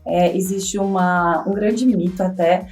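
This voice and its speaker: A woman educator talks with lively energy in a podcast panel, often acting out little examples as she goes. She is heard from a slight distance, with faint music underneath. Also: lowish voice, a little slow. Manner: animated, acts out parents' worries in quoted voices. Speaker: educator at a bilingual school.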